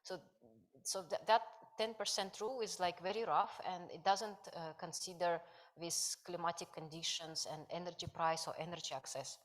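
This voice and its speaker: Lady presenting research, lowish voice, lots of uh and like. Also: steady tone, normal speed. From Kazakhstan. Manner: hesitant, many fillers, non-native grammar. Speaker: conference speaker, researcher. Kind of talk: academic conference talk.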